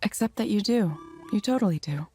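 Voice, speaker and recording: An actress playing a composed, encouraging counselor in a visual novel, speaking in a measured, reassuring way. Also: female voice, medium pitch, lively intonation, darkish tone, lightly acted. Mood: calm, supportive. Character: composed, encouraging woman counselor reading advice. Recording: game dialogue under clear music.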